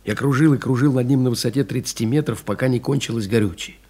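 An actor playing a rugged, proud aviator telling of his ordeal in a restrained, intense dramatic monologue with a husky voice. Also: mid-range voice, fast, darkish tone, close to an everyday voice. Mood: weary, matter-of-fact. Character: rugged surviving male aviator recounting his mountain ordeal, proud and plainspoken. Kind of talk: dramatic first-person monologue in an audio play.

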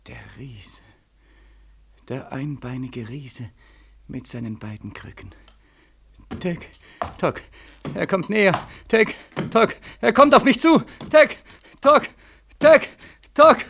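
An actor in a 1940s German radio drama playing a haunted, guilt-ridden, desperate war returnee, theatrical and staccato, swinging between hushed and frantic. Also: male voice, high voice, takes it slowly, husky, heavily acted. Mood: hushed dread, then rising panic. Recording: 1940s radio studio recording.

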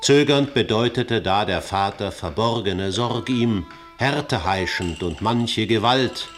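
An actor playing the solemn narrator of an ancient tragedy, reciting epic verse in a radio drama. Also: male voice, lowish voice, takes it slowly, faint music behind.

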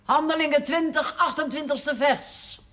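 A woman playing a severe older aunt in a television drama, quizzing on the Bible in a sharp, commanding, scolding manner. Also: high voice, fairly even intonation, unhurried. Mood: stern, testing. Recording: 1970s television drama soundtrack.

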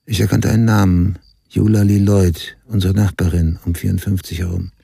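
An actor playing a writer who soberly narrates his past in a radio drama, with a husky, dark, gravelly voice. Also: low voice, little pitch movement, normal speed, no echo, exaggerated voice. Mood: sober, reflective. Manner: sober, matter-of-fact. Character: grown male writer soberly recounting his murdered mother's case. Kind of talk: scripted first-person narration, radio drama.

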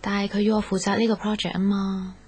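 A woman's voice: mid-range voice, level tone, quick pace, a little mellow, soft background music, close to an everyday voice. An actress playing a sensitive, insecure young girlfriend in a Cantonese radio drama. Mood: tentative, hurt. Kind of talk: Cantonese radio drama acting with inner monologue.

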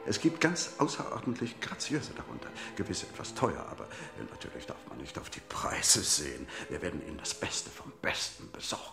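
An actor playing a pushy, cheerfully callous surgeon in a German radio play, theatrical and wheedling. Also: male voice, sits low, wildly swooping pitch, a little fast, creaky, hardly any pauses, music in the background, heavily acted. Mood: smug salesmanship. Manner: theatrical, wheedling, brushing doubts aside.

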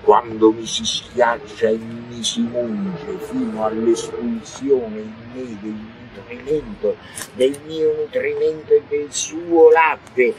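A man declaiming a theatrical text in a forceful, often loud delivery. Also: mid-range voice, fairly even intonation, slow, soft background music, rough recording. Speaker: actor reciting a monologue. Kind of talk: theatrical recitation.

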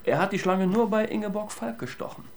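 An actor playing a flippant, slangy police investigator in a radio crime drama, casual and matter-of-fact. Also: male voice, high-pitched, steady tone, a little fast, vocal fry, a little hiss or hum.

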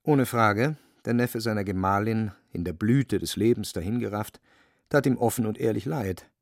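A man narrating a literary radio play in a measured, wry manner. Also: fairly low pitch, vocal fry, dry recording, studio quality. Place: studio, very dry.

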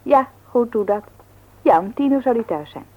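A woman's voice: on the high side, expressive, normal speed. An actress playing a composed, independent-minded woman in a radio play, speaking in a firm, matter-of-fact manner. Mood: casual, friendly. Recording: dull old radio recording.

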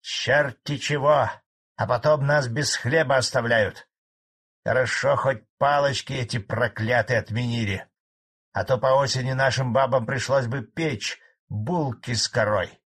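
A man voicing a spiteful old male collective farmer who rants gruffly and defiantly. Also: medium pitch, unhurried, fairly bright tone. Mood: angry.

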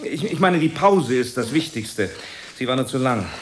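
A man acts a brusque theatre director in a radio play, with highly animated intonation. He commands, hesitates and repeats himself, dismissive and sure of his authority, over faint background noise. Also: moderate pace, natural voice. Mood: hesitant, dismissive. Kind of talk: German radio play acting.

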